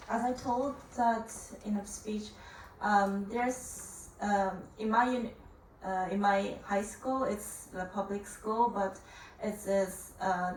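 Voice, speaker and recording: Young woman, slow halting panel answer; distant, roomy conference-hall sound. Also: medium pitch, a little hiss or hum. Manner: halting, searching for words, many fillers. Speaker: youth panelist. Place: conference room. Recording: echoey conference room from far off.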